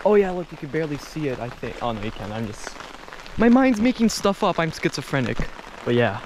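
A man's voice: a little high, big pitch swings, a little fast, noisy background. A young man chatting casually to camera as a travel vlogger, rambling and self-deprecating. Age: young adult. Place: outdoors at a rural mountain train station in rain.

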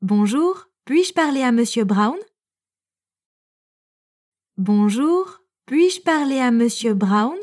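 A woman narrator reading French phrases for learners, clearly and evenly, each one repeated. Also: a little high, expressive, slow, pauses often, darkish tone. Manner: reads each phrase three times, evenly.

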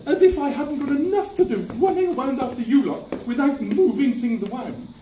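A fussy pantomime cleaning woman, acted by an older man, high and mellow in tone. She complains theatrically and is easily taken in, heard from far off in an echoing, slightly noisy village hall. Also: brisk. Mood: grumbling. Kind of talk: amateur pantomime acting. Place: village hall stage area, far camera. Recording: distant echoey village hall, background noise.

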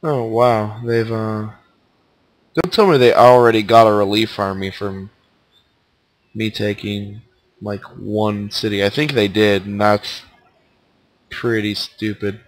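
A man with a stuffed-up, nasal voice giving relaxed, casual commentary while playing a strategy game. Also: on the low side, slow, pauses often, dry recording. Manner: laid-back, thinking aloud as he plays. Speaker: let's play commentator.

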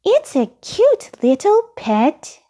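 A small, childlike storybook monster acted out by a woman speaks far up in a high register, polite and eager. The delivery swings up and down with earnest, simple phrasing. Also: huge pitch swings, slow pace, mellow, full character voice. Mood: eager, searching. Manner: childlike, refers to self in third person.

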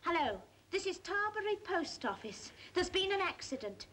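An actress playing a kindly, cautious village postmistress with an English accent in an old British film. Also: female voice, very high-pitched, sing-song, moderate pace, a bit bright, exaggerated voice. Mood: concerned, soothing. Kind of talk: 1950s British film acting. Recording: noisy old film soundtrack.

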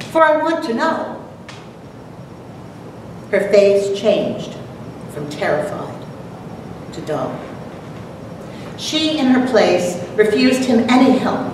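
A mature woman giving a prepared talk and dramatic poetry recital with deliberate, theatrical delivery. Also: mid-range voice. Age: middle-aged or older. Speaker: presenter, dramatic reader. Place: lecture hall, at a podium. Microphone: far camera. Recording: echoey noisy hall from far off.

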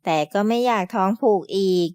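A woman's voice: fairly quick, a little mellow, no echo, clean studio sound. A woman narrating a scripted children's story, explaining things to young listeners as friends.

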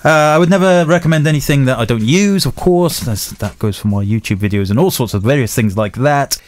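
A man co-hosting a podcast at a middle pitch, explaining gear in a relaxed, plain way. His voice is close on a studio microphone, with a very dry sound and no room around it. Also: a little fast, no echo. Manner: easygoing, explains things plainly, laughs often. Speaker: podcast co-host. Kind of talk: casual podcast chat and product explanation.

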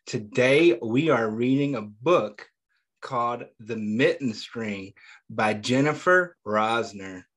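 A man reading a picture book aloud to young children, pausing often to ask them questions and praise their answers, and calling himself by name in the third person. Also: medium pitch, expressive. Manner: gentle, patient, asks questions and pauses for answers. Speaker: children's story reader.

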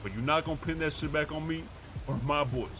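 A man's voice: on the high side, quick pace, background noise. A man roleplaying a pushy, streetwise, profane character in a game, talking in slang. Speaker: roleplay gamer streaming.

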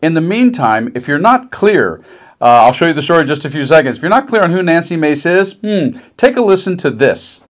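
Male host, middle-aged, somewhat higher register, mocking conversational political commentary. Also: big pitch swings, brisk. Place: small decorated room indoors.

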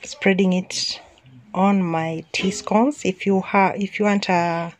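A woman's voice: mid-range voice, steady tone, slow, a little hiss or hum. A woman hosting her home cooking channel, talking casually over the footage with frequent restarts and ums.

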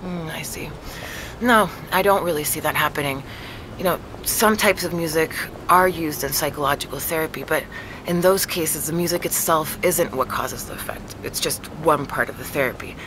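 An actress as a female neurologist in an audio drama, speaking in a low voice. She explains in a measured, matter-of-fact, professional way. Clear outdoor noise sits behind her. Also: natural voice. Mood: skeptical. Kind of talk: naturalistic audio drama dialogue.

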